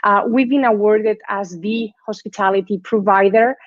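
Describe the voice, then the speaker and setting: A woman's voice: medium pitch, steady tone, moderate pace, no echo, highs cut off. Spanish-accented woman giving an online lecture. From Spain. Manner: warm, chatty, tags phrases with "right?". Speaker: guest lecturer. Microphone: video call.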